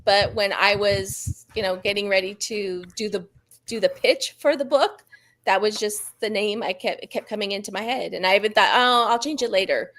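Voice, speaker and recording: A woman's voice: medium pitch, normal speed, fairly bright tone. A woman author speaking as a guest in a casual book chat over a video call, candid and self-deprecating. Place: live online video call.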